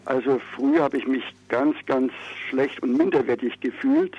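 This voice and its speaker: A man calling in to a radio show, speaking calmly and candidly with a few hesitations. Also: medium pitch, normal speed, husky. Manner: calm, candid, slight hesitations. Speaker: caller. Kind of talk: phone-in call. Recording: dull, dry phone-in audio.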